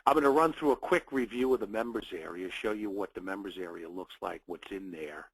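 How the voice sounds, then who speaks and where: Man, webinar host, low voice, raspy, nasal from a cold, dry close sound, friendly, halting. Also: dry recording, poor audio. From United States. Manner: friendly, welcoming, speaks in short phrases with fillers. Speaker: webinar host, trading educator. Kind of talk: live webinar presentation.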